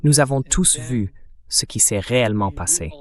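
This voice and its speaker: Male, synthetic voice, medium pitch, interviewer, translated interview voice-over. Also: expressive, normal speed.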